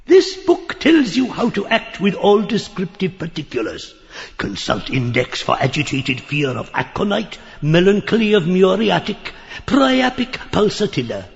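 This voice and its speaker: Grotesque, swooping, croaky old pedant. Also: male voice, high voice, big pitch swings, a little slow, long reverb tail, lo-fi sound, heavily acted. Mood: excitable, insistent. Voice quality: nasal, croaking, gabbling. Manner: pompous learned lecturing, full of crowing outbursts. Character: grotesque pedantic old man, parrot-like, leering and excitable. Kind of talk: theatrical audiobook acting of a surreal stage play.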